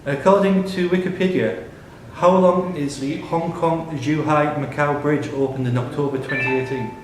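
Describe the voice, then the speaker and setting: A man's voice: fairly high pitch, steady tone, moderate pace, crowd noise. A man reading out questions to players as host of a live pub quiz. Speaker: quiz reader. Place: indoors in a pub. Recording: very echoey room, distant mic, background noise.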